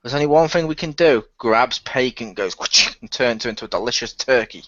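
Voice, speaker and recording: Man, playful teaser, lively voice, dull lo-fi dry sound. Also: medium pitch, fairly quick, no room sound. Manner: joking, teasing, laughs a lot. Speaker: cast member of an audio drama. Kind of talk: casual chat.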